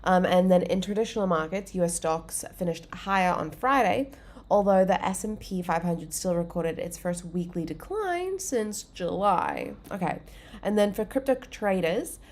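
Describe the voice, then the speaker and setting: Woman livestream host, lower voice, stuffy and congested, upbeat rambling chat with lots of "um" and "like". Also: expressive, normal speed, darkish tone. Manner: chatty, filler-heavy, upbeat. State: congested, under the weather.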